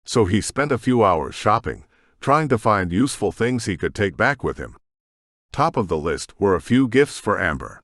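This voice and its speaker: Low-voiced man narrating an audiobook. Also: big pitch swings, normal speed, a bit bright, dry recording, clean studio sound. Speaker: narrator and author.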